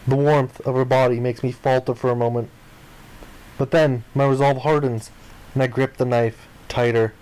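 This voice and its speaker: A man's voice: mid-range voice, little pitch movement, moderate pace, darkish tone, clean tone, poor audio. A man reading a visual novel aloud as dramatic narration for a game playthrough.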